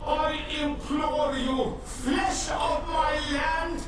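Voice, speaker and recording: An actor playing an ancient, solemn god of the land in a video game, declaiming through heavy echo. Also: male voice, very high voice, steady tone, very slow, heavy background noise, full character voice. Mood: urgent pleading. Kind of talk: dramatic video game cutscene acting. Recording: distant, heavily echoing, music behind.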